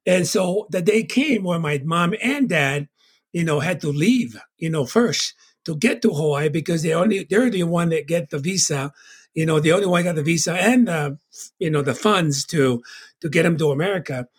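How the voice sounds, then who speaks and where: Interview guest, man; higher voice, husky, earnest and conversational. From Tonga. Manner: earnest, fills pauses with "you know". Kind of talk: personal storytelling in conversation.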